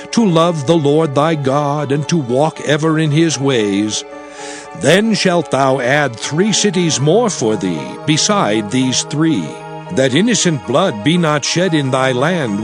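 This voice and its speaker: Slow, solemn prophet lawgiver. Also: male voice, medium pitch. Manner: measured, declamatory, archaic diction. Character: solemn male lawgiver prophet commanding his people. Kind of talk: dramatized scripture reading.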